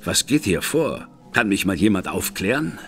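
An actor playing an inquisitive male gentleman detective in a radio drama, speaking in clipped questions. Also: lowish voice, big pitch swings, normal speed, soft background music.

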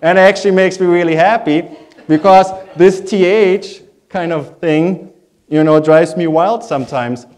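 A man's voice: sits high, unhurried, a little mellow. A man with a German accent, a native German speaker, preaching a sermon as a pastor in a reflective, conversational way, repeating phrases for emphasis.

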